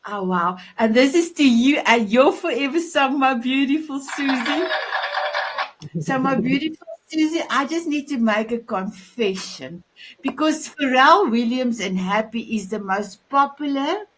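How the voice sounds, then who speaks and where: A woman with a South African Afrikaans accent hosting a talk show in a gushing, affectionate, halting way. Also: high voice, animated, slight background noise, low-quality recording. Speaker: show host.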